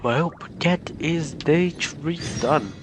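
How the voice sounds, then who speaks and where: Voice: mid-range voice, extremely slow. A game streamer giving casual, informal commentary while sick with flu. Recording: game music behind voice. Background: clear game music.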